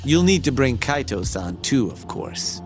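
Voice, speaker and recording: An actor playing a sly, knowing journalist informant in a video game, sardonic under surface politeness. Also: male voice, medium pitch, big pitch swings, a little slow. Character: sly, smooth-talking male journalist informant, casually knowing. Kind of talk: video game cutscene dialogue. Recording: music behind the voice.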